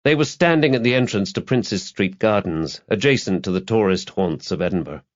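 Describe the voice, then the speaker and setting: A man's voice: fairly low pitch, expressive, normal speed, muffled recording. A man reading a crime novel aloud as an audiobook narrator, in a scripted literary style.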